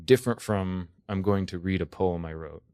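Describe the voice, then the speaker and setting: A man's voice: low-pitched, normal speed, darkish tone, dry recording, clean studio sound. An American man from Texas talking casually as a podcast guest, explaining things thoughtfully. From Texas, United States.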